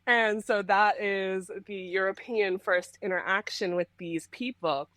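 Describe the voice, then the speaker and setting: A woman's voice: mid-range voice, measured pace, a bit bright, no room sound. A young woman from the Bahamas, explaining things conversationally as a podcast interview guest. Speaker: guest, history PhD student and content creator.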